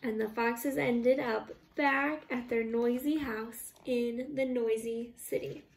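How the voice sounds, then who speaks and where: A young woman teacher reading a children's storybook aloud in an expressive, deliberate way. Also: fairly high pitch. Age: young adult. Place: small living room at home, indoors. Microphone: camera a short distance away. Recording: roomy, echoey, distant microphone.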